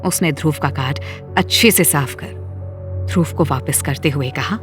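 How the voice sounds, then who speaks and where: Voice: mid-range voice, moderate pace, over music. A narrator reading a scripted dramatic story.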